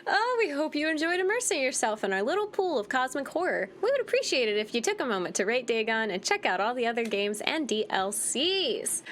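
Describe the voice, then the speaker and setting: A woman giving casual, expressive playthrough commentary as a gaming host, playfully reacting aloud. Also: sits high, fairly quick, few pauses, a little hiss or hum.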